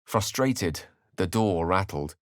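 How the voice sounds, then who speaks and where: An actor playing a scholarly, self-assured and romantic human wizard in a video game, with an eloquent, theatrical way of speaking. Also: male voice, low voice, lively intonation, slow pace, no echo, studio quality. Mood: grave, narrating. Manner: eloquent, theatrical, ornate phrasing. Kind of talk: video game character lines and narration.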